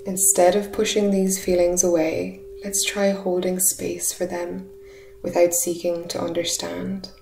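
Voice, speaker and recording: Woman, guided meditation, soft breathy soothing delivery, roomy echo, humming sound-bath tones behind. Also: fairly low pitch, unhurried. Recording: roomy echo, steady humming background noise.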